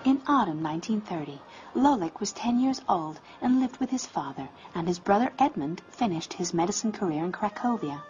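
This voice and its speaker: A woman's voice: medium pitch, expressive, brisk. A woman reading scripted narration in a storybook biography style. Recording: dull sound, loud music behind.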